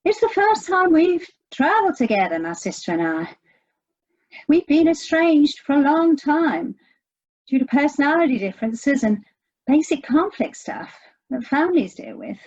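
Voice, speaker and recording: A woman's voice: high voice, very animated, moderate pace, a little mellow, dull audio. An actress in an audio play playing an anxious, sardonic American woman searching for her estranged sister. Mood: worried, exasperated.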